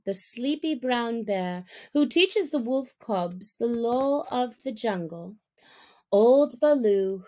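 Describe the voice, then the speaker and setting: A woman narrating a classic story as an audiobook reader, in a measured, expressive storytelling manner. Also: on the high side.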